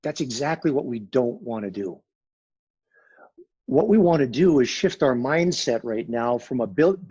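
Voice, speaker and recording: A man speaking with a husky, raspy voice in a measured, emphatic, pragmatic way. He talks as a guest consultant in a business interview. The recording sounds dull and lo-fi. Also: mid-range voice, a little mellow.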